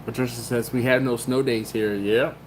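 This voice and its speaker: A man's voice: mid-range voice, steady tone, moderate pace, slight background noise, poor audio. A man hosting a casual livestream, reading chat comments aloud and rambling with laughs and restarts. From Maryland, US. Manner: rambling, laughs often, self-interrupting.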